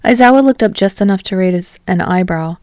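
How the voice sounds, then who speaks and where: A woman reading her own fan fiction aloud as a podfic, in plain, even storytelling. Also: mid-range voice, fairly quick, no room sound. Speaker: podfic reader and author.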